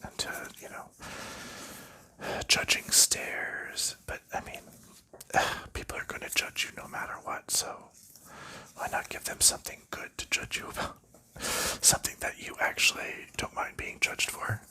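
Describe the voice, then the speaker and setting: A man whispers his way through a relaxed ASMR chat, drawing every phrase out slowly. His whisper is bright and sharp-edged, close and breathy. He rambles softly and lets small chuckles slip in. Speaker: ASMR creator.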